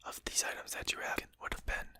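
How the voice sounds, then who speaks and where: A performer voicing a composed, courteous, faintly mocking vampire in a breathy, articulate whisper for an ASMR roleplay. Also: no echo. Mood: politely condescending. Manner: slow, articulate, formal, gently mocking. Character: composed, courteous, condescending vampire addressing a hunter.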